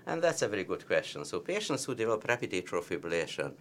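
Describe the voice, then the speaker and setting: A man's voice: lowish voice, very animated, fast talker, fairly bright tone, a little hiss or hum. A man with a heavy Hungarian accent, a cardiologist explaining treatment with emphatic repetition of key points. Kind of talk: medical teaching discussion.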